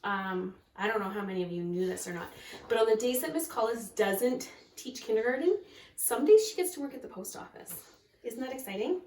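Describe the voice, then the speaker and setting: A woman's voice: on the high side, expressive, fairly quick, a little mellow. A woman kindergarten teacher talking to young children and reading a storybook aloud. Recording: echoey room, far from mic.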